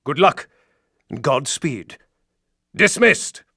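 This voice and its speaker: A military officer in a war game briefing. His voice sits fairly high and is commanding, recorded close and dry. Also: very animated, slow, sharp tone, breathy edge, dry recording, heavily acted. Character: military officer briefing troops on captured weapons.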